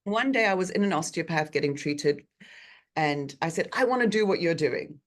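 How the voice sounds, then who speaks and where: A woman in her forties from South Africa, an osteopath giving a chatty online talk with frequent ums, on a video call from a bright treatment room. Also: deep voice, lively intonation, moderate pace. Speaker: osteopath guest speaker.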